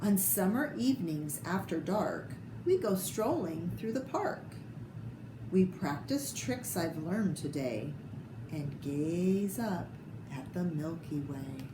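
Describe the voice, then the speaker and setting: An American woman reads a children's picture book at a slow, expressive pace, pausing to point out pictures. Her voice sits a little low. The room is echoey, with clear noise behind her. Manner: expressive, unhurried, pointing out pictures to young listeners. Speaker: storyteller reading picture books to children. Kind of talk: read-aloud picture book narration with asides to listeners.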